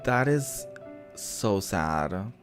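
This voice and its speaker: A streamer with an expressive, slangy way of talking, casually reacting to a visual novel and reading its narration aloud, with game music behind. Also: low-pitched, extremely slow. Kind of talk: casual gameplay commentary mixed with narrated reading.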